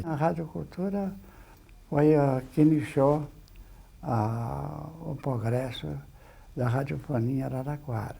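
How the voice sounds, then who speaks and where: Male Brazilian interviewee, husky and gravelly, unhurried, halting recollection. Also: medium pitch, mellow. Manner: halting, repeats words. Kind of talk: spontaneous recollection.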